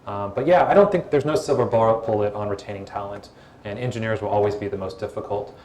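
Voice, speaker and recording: Calm low-voiced man in an echoey hall. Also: quick pace. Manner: calm, relaxed, conversational. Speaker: guest speaker, former social media executive. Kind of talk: live Q&A at a startup event. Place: event hall with audience. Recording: echoey event hall with faint room noise.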